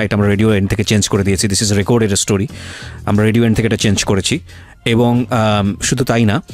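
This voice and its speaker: A man with a Bangladeshi accent hosting a radio show, questioning a guest and explaining points to listeners, repeating himself and mixing in English. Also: on the low side. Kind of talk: interviewing and commenting to listeners.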